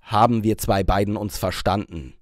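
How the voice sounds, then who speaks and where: A man voicing a confident young career woman in an audiobook, stern, measured and condescending. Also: fairly low pitch, fairly even intonation, no room sound, studio quality, pitched below the performer's own voice, close to an everyday voice. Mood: stern, threatening.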